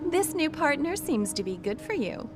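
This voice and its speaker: An actress playing a poised, friendly, gently teasing mission coordinator in a video game. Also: female voice, high voice, big pitch swings, moderate pace, over music. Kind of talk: video game cutscene acting.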